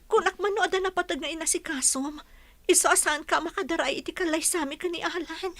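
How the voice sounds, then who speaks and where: A woman's voice: extremely high pitch, fast, fairly bright tone, no echo. A woman with a Filipino accent playing a distressed, confused young bride-to-be in a melodramatic audio drama. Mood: bewildered, upset.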